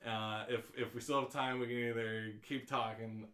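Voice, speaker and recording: A man's voice: medium pitch, steady tone, normal speed, pushed and bright. A man hosting a casual, unrehearsed live show, talking and reading aloud in a rambling, jokey way. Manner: rambling, self-interrupting, jokey. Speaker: show host. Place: indoors in an office. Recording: roomy, echoey office.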